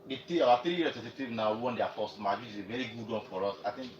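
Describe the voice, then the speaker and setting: A man's voice: mid-range voice, fast, far from the mic. A Nigerian man talking as a panellist in a live sports radio discussion, wordy and hesitant. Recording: muffled roomy sound, clear noise.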